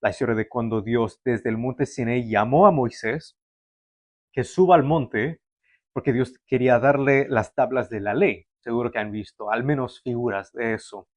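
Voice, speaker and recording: A man's voice: mid-range voice, moderate pace, a little mellow, dry recording. A young man born in Canada, preaching a sermon to a livestream audience in a conversational way, with fillers and restarts. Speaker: pastor.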